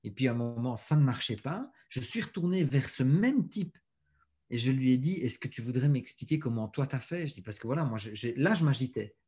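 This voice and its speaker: A man, a guest on a podcast, telling stories in an animated way and repeating words as he thinks. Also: mid-range voice, a little fast. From Belgium. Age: 40s. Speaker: guest, author and speaker. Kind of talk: casual podcast interview.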